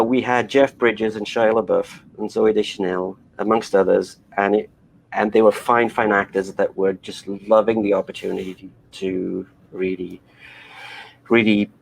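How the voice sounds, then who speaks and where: Guest: middle-aged Welsh-born man, low gravelly voice, rambling, full of fillers and restarts. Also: poor audio. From Wales. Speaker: animation director, interview guest. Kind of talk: conversational interview.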